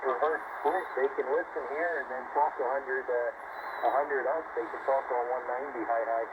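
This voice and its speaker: A male ham radio operator checking into an on-air chat, relaxed and halting with many fillers. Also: mid-range voice. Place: heard through a receiver. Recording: muffled shortwave reception, loud static.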